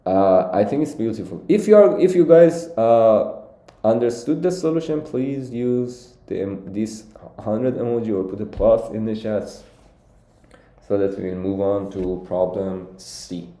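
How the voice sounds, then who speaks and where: A man's voice: fairly low pitch, lively intonation, measured pace, mellow, roomy sound. A young man originally from Iran, walking through contest solutions on a live stream and thinking aloud with many fillers and restarts. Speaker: competitive programming streamer.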